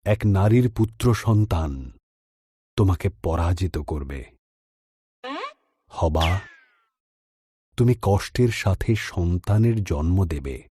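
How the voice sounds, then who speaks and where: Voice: sits low, dark tone, voice pushed down. A storyteller voicing a stern, grave God in a solemn, commanding manner.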